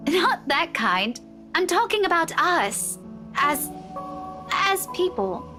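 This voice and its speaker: A woman's voice: very high voice, sing-song, slow pace, sharp tone, heavily acted. An actress voicing an earnest young woman in a visual novel, speaking hesitantly and sincerely, as one would to a childhood friend. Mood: wistful, troubled. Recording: music playing behind the voice.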